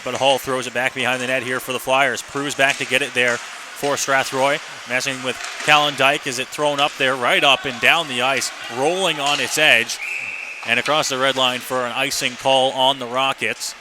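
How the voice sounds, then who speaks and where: Man calling hockey over arena noise. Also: mid-range voice. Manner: running description in clipped phrases. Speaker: play-by-play announcer. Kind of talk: live ice hockey radio commentary. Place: ice rink, live broadcast position. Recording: noisy live arena broadcast.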